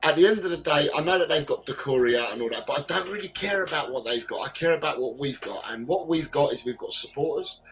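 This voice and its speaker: A man's voice: fairly high pitch, lively intonation, quick pace, hardly any pauses, a bit bright, soft background music, low-quality recording. A man hosting a casual podcast, emphatic and repeating his points as he quizzes his guests over a video call. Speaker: podcast host.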